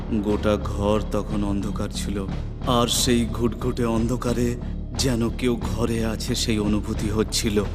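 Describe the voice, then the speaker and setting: Male horror narrator over prominent music. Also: lowish voice. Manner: suspenseful storytelling. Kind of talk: scripted dramatic horror narration.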